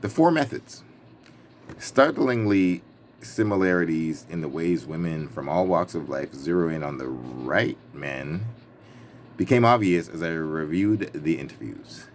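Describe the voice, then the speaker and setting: A man with a very low-pitched voice reads from a book with emphasis and a playful streak. He slips between the written text and casual, wry commentary. Clear background noise runs through the recording. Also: expressive, unhurried. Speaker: reader and commentator. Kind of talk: book reading with casual ad-lib asides. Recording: clearly noisy background ambience.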